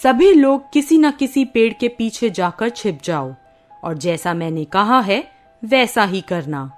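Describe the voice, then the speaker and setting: A woman's voice: medium pitch, lively intonation, takes it slowly, faint music behind. Storybook sage: woman as protective male village mystic, authoritative, urgent, mid-register. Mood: instructing, grave. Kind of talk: dramatized moral-story narration.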